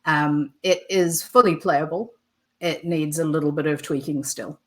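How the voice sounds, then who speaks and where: A woman's voice: low voice, fairly even intonation, a little slow. A woman, a game designer chatting casually in an interview, with lots of "um" fillers and easy laughter. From New Zealand.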